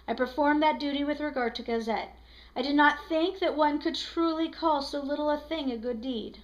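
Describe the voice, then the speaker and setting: A woman voicing a calm, solemn old man who was once a convict, speaking slow, low and quiet. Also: muffled recording, natural voice.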